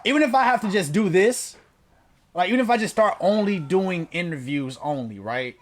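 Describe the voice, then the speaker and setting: Twenty-something guy hosting a chat, rambly and swears a lot, voice kinda high. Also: lively intonation, moderate pace. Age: 20s. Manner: loose, profane, rambling and self-joking. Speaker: host. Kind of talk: casual interview chat.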